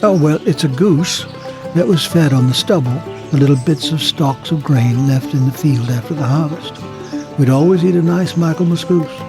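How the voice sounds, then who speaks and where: An actor playing a sick, gentle old grandfather in an audio drama, pious and nostalgic, reminiscing tenderly and without hurry. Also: fairly high pitch, moderate pace, music in the background, lightly acted. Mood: fondly nostalgic. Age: older. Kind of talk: full-cast audio drama acting.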